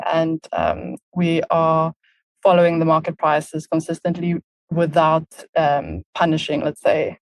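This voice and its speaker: A woman's voice: low voice, no echo, poor audio. A woman in her thirties with a South African accent, a podcast guest who explains things at length with lots of "um" fillers. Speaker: podcast guest, marketing lead. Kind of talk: casual interview talk.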